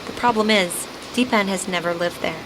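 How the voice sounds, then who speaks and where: A woman's voice: medium pitch, moderate pace, noisy background. A woman reading scripted radio documentary narration as a reporter.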